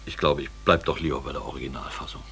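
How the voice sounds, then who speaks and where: An actor playing a skeptical studio client in casual radio drama dialogue. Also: male voice, rumbling low, steady tone, fast, vocal fry, a bit bright, husky, soft background music, exaggerated voice. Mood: doubtful. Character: skeptical, hard-to-please male client in a sound studio.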